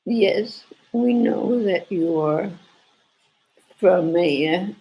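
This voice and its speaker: Knitting teacher, woman, hoarse from a cold; gravelly, husky, unhurried, repeats points. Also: on the low side, warm tone, rough recording. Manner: slow, repetitive explaining with restarts. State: sore throat, congested, coughing. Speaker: knitting teacher hosting a live stream. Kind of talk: casual live knitting lesson.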